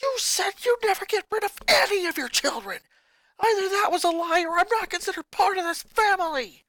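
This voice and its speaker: A woman voicing an angry, resentful young boy who rants and shouts at his family. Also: extremely high pitch, expressive, brisk, no room sound, voice pushed up. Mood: furious. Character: furious boy, eleven-year-old, bitter and resentful.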